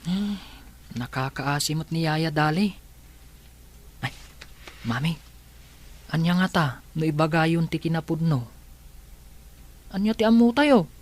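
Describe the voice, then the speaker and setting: A man's voice: a little high, a little slow, pauses often, close to an everyday voice. A man with a Filipino accent playing a kind, concerned young son in a radio drama.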